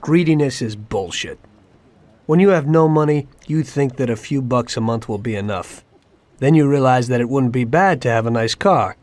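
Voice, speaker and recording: A man's voice: mid-range voice, moderate pace, a little mellow, no echo, lightly acted. Disillusioned American gangster played by a male actor, mid-range voice, weary and cynical, telling his story plainly for a crime game. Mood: cynical, reflective. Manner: reflective, plain-spoken, cynical. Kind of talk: dramatic game cutscene narration.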